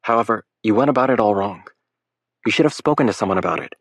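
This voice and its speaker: A teenage girl putting on a mock-stern motherly voice, theatrical and teasing, pitched well above the man who reads her. The sound is close and dry. There is a slight rasp underneath. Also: animated, fast, dry recording. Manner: playfully theatrical, mock-scolding. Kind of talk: audiobook character voice.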